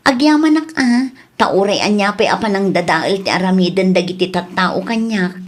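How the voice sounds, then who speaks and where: A woman's voice: mid-range voice, normal speed, lightly acted. A woman playing an affectionate, grateful young village wife with an Ilocano accent in a radio comedy drama. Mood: grateful, tender.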